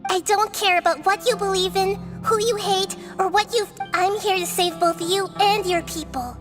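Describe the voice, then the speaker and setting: Childlike nature goddess for a video game: very high pitch, wise, gentle, earnest and measured. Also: female voice, fairly even intonation, over music. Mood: firm, pleading.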